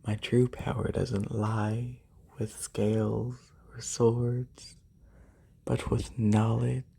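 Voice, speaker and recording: Someone acting as a haughty snake noble, super slow n breathy asmr, talks down to you, right up close on the mic. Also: medium pitch, dark tone, heavily acted. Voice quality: breathy, whispery. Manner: haughty, condescending, unhurried. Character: haughty, wealthy lamia noble, testing a servant. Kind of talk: soft-spoken ASMR roleplay. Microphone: binaural, close. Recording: close binaural microphone.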